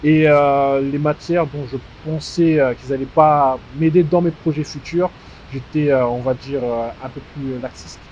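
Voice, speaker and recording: A man in his twenties who grew up in Strasbourg, giving conversational interview answers with frequent mid-phrase pauses. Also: fairly high pitch, steady tone, a little mellow, a little hiss or hum. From born in DR Congo, grew up in Strasbourg, France. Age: 20s. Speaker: interview guest, fashion house founder. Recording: dull muffled sound with music behind. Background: music.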